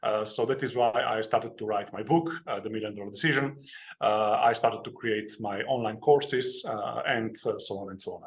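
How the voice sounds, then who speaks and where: Earnest man, halting speech. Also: on the low side. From Slovenia. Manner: hesitant, many "uh" fillers, plain and earnest. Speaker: guest, author and investing educator. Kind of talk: interview storytelling.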